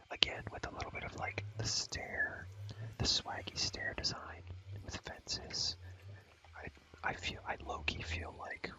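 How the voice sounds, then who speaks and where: Young guy whispering asmr gaming stuff, super bright breathy whisper, rambly n slangy, repeats himself. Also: rough recording. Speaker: ASMR creator showing a game world. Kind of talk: whispered ASMR gameplay commentary.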